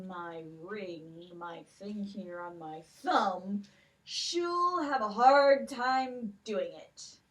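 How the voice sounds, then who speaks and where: A woman voicing a sleepy, boastful male giant in a children's puppet show, loud and blustering. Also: medium pitch, recorded from a distance, rough recording. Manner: loud, blustering, self-pitying. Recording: voice from off the mic.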